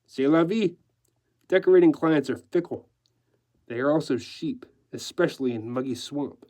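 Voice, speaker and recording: A man's voice: fairly high pitch, normal speed, darkish tone, close to an everyday voice. A man voicing a sly, gleeful, theatrical male decorator in a story, gushing and affectedly dropping French phrases. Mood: airy, sly.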